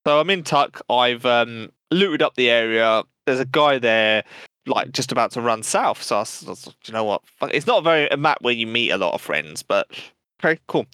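A man's voice: medium pitch, expressive, normal speed, bright, forceful tone, smooth, no echo. A man co-hosting a gaming podcast, telling stories casually with frequent swearing and laughter. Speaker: podcast co-host.

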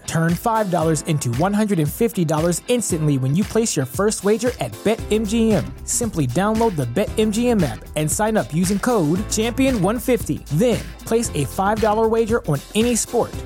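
A woman giving a scripted advertising read, upbeat, rushing through the disclaimers. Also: mid-range voice, dark tone. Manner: upbeat, rapid disclaimer reading. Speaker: ad voice-over.

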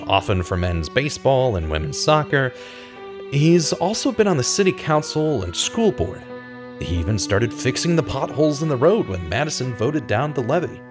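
Actor as an earnest, animated radio detective man. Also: mid-range voice. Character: earnest radio host turned true-crime detective, man. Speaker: host and narrating lead. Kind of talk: scripted audio drama narration.

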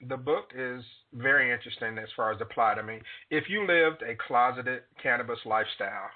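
A man hosts a radio talk show. He speaks calmly and agreeably and reads out sponsor messages. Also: medium pitch, brisk. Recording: thin phone-line sound.

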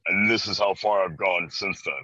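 Young American man, early twenties, bright forceful tone, fairly low pitch, casual guest chat, dry dull lo-fi audio. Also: fairly even intonation, normal speed, no echo. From Chicago, USA. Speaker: interview guest. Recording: dry, slightly dull band-limited audio.

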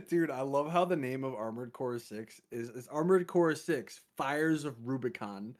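A man's voice: mid-range voice, lively intonation, unhurried. A man in his thirties co-hosting a casual gaming podcast, laid-back, laughing easily and using casual slang. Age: 30s. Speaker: podcast co-host.

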